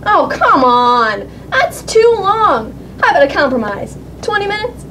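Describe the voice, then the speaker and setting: An impatient little girl in a puppet show, acted by a woman, with a very high, swooping voice. She pleads dramatically and bosses people about with cheek. There is clear background noise on the recording. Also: big pitch swings, a little slow, pushed and bright, exaggerated voice, rough recording. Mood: whiny. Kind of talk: children's puppet show acting.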